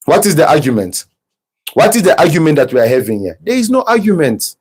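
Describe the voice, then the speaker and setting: A man's voice: fairly high pitch, big pitch swings, fairly quick, darkish tone, breathy edge. A man hosting a podcast, giving heated, mocking reaction commentary. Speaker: podcast host.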